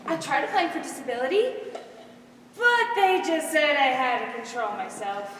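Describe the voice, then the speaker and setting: A woman's voice: high voice, animated, normal speed, fairly bright tone. A teenage girl on stage playing a timid, earnest young woman in a comic play. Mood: nervous, earnest. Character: timid young woman who laughs at everything. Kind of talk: high school stage play acting. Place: stage in a large hall, recorded from afar. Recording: echoey hall, distant microphone, background noise.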